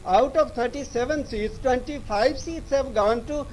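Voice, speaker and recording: A man with an Indian English accent giving a live news report in a halting, repetitive way. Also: very high-pitched, a little hiss or hum, poor audio. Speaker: news correspondent.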